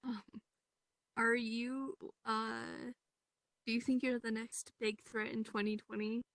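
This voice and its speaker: Girl interviewer, kinda high voice, hesitant casual questions, dry sound. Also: level tone, slow, fairly bright tone, dry recording. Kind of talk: improvised comic interview, casual. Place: virtual reality voice chat.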